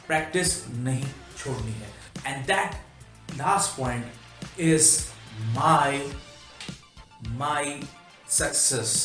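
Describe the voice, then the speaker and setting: A man giving an earnest English lesson in Urdu, slipping English phrases into his talk. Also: mid-range voice. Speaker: English teacher. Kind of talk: spoken English lesson vlog. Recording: echoey room with faint music.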